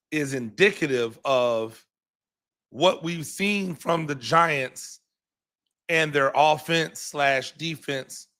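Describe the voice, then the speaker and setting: Man, hoarse, bright forceful tone, middle pitch, rambling, repeats words, lots of ums, football coach hosting a live reaction show. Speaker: podcast host and high school football coach.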